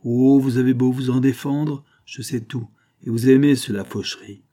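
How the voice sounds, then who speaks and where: A man's voice: mid-range voice, fairly even intonation, normal speed, mellow, voice pushed up, natural voice. Man acting a sneering, bitter, bullying husband. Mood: bitter, angry. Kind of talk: dramatised audiobook reading.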